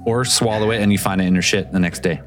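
A man's voice: fairly low pitch, steady tone, fast, faint music behind. A man hosting a call-in show, chatting casually and joking with callers and co-hosts. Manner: laid-back, joking. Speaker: host.

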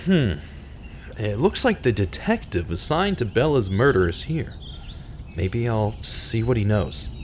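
A man playing an earnest radio host turned true-crime detective, narrating a scripted audio drama in a measured, dramatic way. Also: lowish voice, very animated, slight background noise. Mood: grave, determined.

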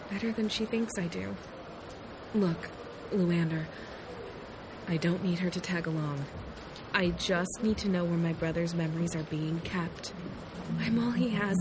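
A woman's voice: fairly low pitch, fairly even intonation, a little slow, pauses often, noisy background, close to an everyday voice. A woman playing a determined, defiant heroine in a science fiction audio drama, earnest and resolute. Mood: quiet, resolute. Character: determined, defiant young woman protecting her brother. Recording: loud music under the voice.

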